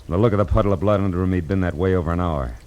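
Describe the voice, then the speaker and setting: An actor playing a tough, cool-headed private detective in 1940s radio noir style, with wry, clipped slang. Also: male voice, low voice, rapid-fire, darkish tone, a little hiss or hum, close to an everyday voice. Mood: grim narration. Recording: old radio recording with music behind.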